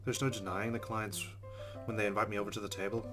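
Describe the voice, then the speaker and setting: A man's voice: lowish voice, little pitch movement, fast talker, fairly bright tone. A man playing a visual novel on video, reading its narration aloud and adding wry, chatty asides. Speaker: let's play host. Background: game music.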